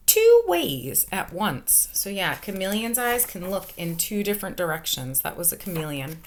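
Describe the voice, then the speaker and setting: Bright, animated woman reading to children. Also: on the high side. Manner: expressive, emphatic, question-asking, child-directed delivery. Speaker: elementary school teacher. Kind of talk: children's picture book read-aloud with commentary. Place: small furnished room at home, indoors. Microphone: webcam or laptop microphone at arm's length.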